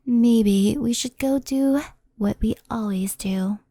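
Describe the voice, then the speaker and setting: Casting: woman as gentle, mischievous kitsune mentor for ASMR roleplay; mellow, slow delivery, soft intimate whispering. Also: a little high, no room sound. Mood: softly anxious. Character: gentle mischievous female fox spirit, doting mentor, faintly anxious.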